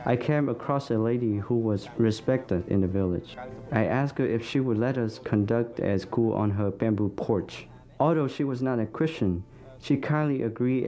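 A man's voice: mid-range voice, normal speed, warm tone. A man reads a church planter's testimony as a scripted voice-over in a plain, sincere way.

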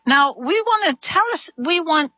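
A woman's voice: high-pitched, expressive, normal speed, sharp tone, dry recording, highs cut off. A woman co-hosting a religious talk show, enthusiastic and affirming. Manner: enthusiastic, affirming with frequent amens. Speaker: co-host.

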